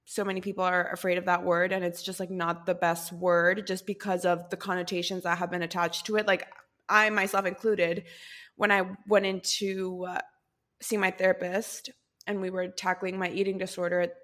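Chatty woman podcast host, slightly low voice. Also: flat delivery, normal speed, fairly bright tone. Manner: chatty, rambling, lots of fillers. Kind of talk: casual podcast conversation.

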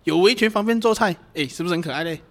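A man's voice: high-pitched, racing. A man with a Malaysian accent acting a playful, doting boyfriend in an amateur audio drama, in teasing, colloquial speech. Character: playful, doting boyfriend who loves cooking.

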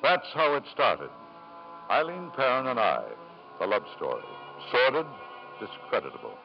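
Self-satisfied middle-aged tycoon, old radio sound. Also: male voice, mid-range voice, huge pitch swings, over music. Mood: confessional. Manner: halting, smooth-talking, insistent. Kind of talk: 1950s radio drama acting. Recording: dull, noisy 1950s radio recording.

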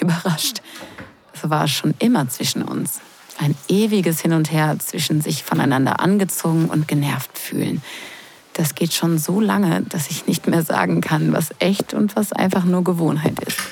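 A woman's voice: sits low, slight background noise. A woman acting a sarcastic, flustered young sister of the groom in an intimate, confiding audio drama. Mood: flustered, reflective. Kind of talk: intimate first-person audio drama narration and dialogue.

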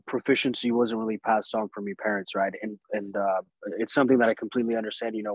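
A man's voice: lowish voice, dry recording, rough recording. A young man from Colombia hosting a podcast, asking long, rambling questions that often stumble. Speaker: podcast host. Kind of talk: casual interview conversation.

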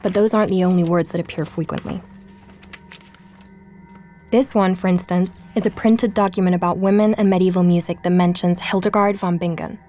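An actress playing a curious, intent woman journalist who narrates an investigative audio drama in a measured, confiding way. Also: lowish voice, steady tone, warm tone, soft background music, natural voice. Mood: reflective, intent. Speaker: podcast host and narrator.

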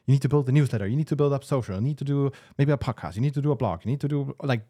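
A man's voice: medium pitch, racing, dark tone, no room sound, studio quality. A man co-hosting a business podcast, making points with joking asides. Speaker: podcast co-host. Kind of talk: casual business chat.

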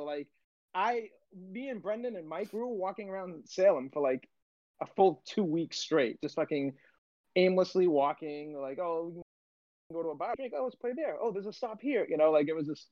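A man in his late thirties chatting on a podcast, animated and self-mocking, often tripping over his words. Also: on the high side, no echo. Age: 30s. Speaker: podcast co-host. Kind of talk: casual chat. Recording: slightly muffled, dry sound.

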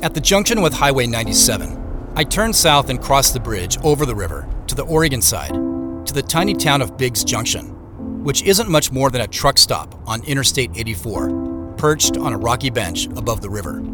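Actor as reflective American man narrating, lively intonation. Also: medium pitch, over music, close to an everyday voice. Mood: matter-of-fact storytelling. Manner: measured, literary storytelling. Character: reflective man narrating his late-night road trip. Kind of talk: scripted audio drama narration.

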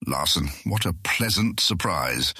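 Fantasy audiobook guildmaster: hot-headed, theatrical and emphatic, sharp edge, very animated intonation, dry studio sound. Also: male voice, low-pitched, a little slow, husky, no echo, full character voice. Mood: blustering, friendly. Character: excitable, aggressive male guildmaster who hates thieves. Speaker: voice actor in audiobook. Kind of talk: full-cast fantasy audiobook acting.

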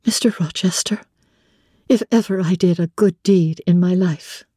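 Woman voicing a breathy, devoted young woman in love. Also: fairly low pitch, normal speed, no room sound, studio quality, voice pushed up. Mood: tender, solemn. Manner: soft, breathy, tender and respectful. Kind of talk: dramatic audiobook reading of classic literature.

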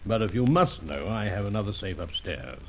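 An actor playing a haughty, defensive, shady art dealer in an old radio drama, pompous and clipped. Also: male voice, fairly low pitch, very animated, fairly quick. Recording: muffled, noisy old radio drama recording.

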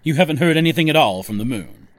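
A man's voice: a little high, animated, fast talker, close to an everyday voice. A man playing a controlling, impatient faction leader in a sci-fi audio drama, talking in a clipped, commanding, weary way. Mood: tense, urgent.

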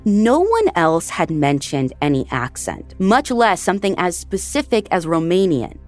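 A woman's voice: on the low side, very animated, a little slow, a little mellow, soft background music. A woman hosting a true crime podcast, narrating the case in a conversational way and speaking directly to her listeners. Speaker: podcast host.

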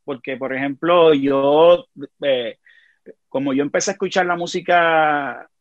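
A man with a Puerto Rican accent, a podcast guest telling animated stories in a casual conversation. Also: on the high side, a little slow, dry recording, muffled recording. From Puerto Rico. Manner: animated storyteller, frequent restarts.

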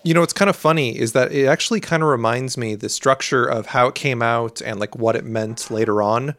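A man co-hosting a casual podcast, rambling and animated as he talks about albums, with plenty of fillers. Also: medium pitch, brisk, no echo.

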